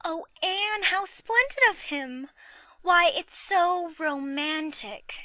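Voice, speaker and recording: A woman voices a gushing, romantic young schoolgirl. Her voice is high, bright and unhurried, recorded very dry for a dramatized audiobook. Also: lively intonation, no echo, heavily acted. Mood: dreamy admiration.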